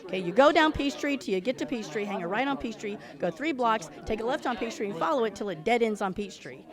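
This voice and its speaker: Woman playing high, expressive, wry office worker. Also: fast talker, a crowd in the background, close to an everyday voice. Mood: wry, animated. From Atlanta, southern US. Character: friendly, lonely Atlanta office woman, wryly humorous. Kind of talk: live stage audio drama before an audience. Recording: live stage show, music, audible audience. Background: music and audience.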